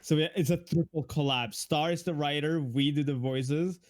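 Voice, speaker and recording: A male streamer bantering casually, playful and excitable, with some swearing. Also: fairly high pitch, moderate pace, a little hiss or hum.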